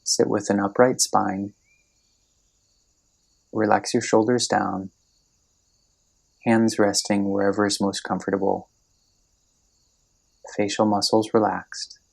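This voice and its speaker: Deep-voiced man guiding meditation, creaky tone. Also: little pitch movement. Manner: gentle, unhurried, soothing instruction with long pauses. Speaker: meditation guide. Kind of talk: guided meditation, scripted narration.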